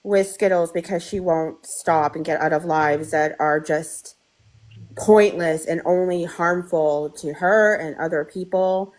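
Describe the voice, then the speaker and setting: A woman's voice: on the low side, steady tone, unhurried, a little mellow. A woman in a casual live-stream talk, speaking frankly as a friend and sounding exasperated.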